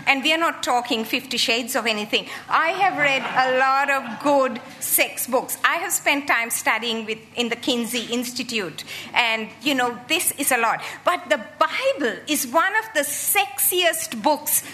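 Lively, bright-voiced woman in her sixties. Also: sits high, measured pace, slight background noise. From Sri Lanka. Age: 60s. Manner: lively, animated, joking. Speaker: guest speaker. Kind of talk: humorous public talk.

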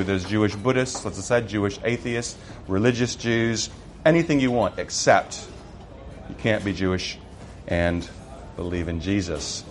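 A middle-aged man with an American accent, a missionary giving a personal testimony in a relaxed storytelling way, with frequent fillers. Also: lowish voice, slight background noise. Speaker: missionary speaker.

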